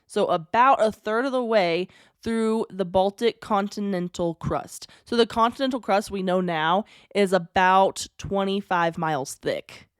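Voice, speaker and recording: A woman's voice: mid-range voice, a little slow, smooth, no room sound. Chatty woman with a dry, close voice. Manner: chatty and digressive, with asides and wry jokes. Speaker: podcast host. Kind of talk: casual storytelling podcast.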